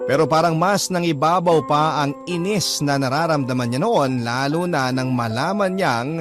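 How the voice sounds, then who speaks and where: A man voices a young man recounting his love story, in a slightly high voice. He narrates in a calm, reflective way while clear music plays under him. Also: lightly acted. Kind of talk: first-person scripted narration. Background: clear background music.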